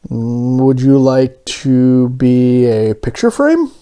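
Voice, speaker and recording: A man voicing a mild, kindly, hesitant grown man in a storybook, with gentle, drawn-out phrasing. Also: mid-range voice, very animated, smooth. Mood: hopeful, tentative.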